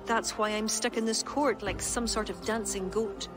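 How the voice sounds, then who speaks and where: An actress with an Irish accent playing a devout, steadfast young pagan court poet in a dramatic game cutscene. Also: female voice, medium pitch, steady tone, moderate pace, close to an everyday voice. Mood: solemn conviction. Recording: loud background music under dialogue.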